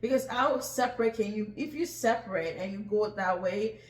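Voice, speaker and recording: A man's voice: sits high, moderate pace. A man co-hosting a casual podcast conversation, reflective and agreeable, with many fillers. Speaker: podcast co-host. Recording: echoey room, faint background noise.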